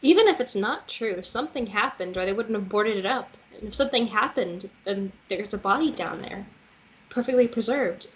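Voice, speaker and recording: A woman's voice: medium pitch, animated, normal speed, lightly acted, poor audio. A woman acting an earnest, stubborn teenage girl in a screenplay table read. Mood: earnest, insistent. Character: teenage girl Lily, stubborn, curious, dreamy.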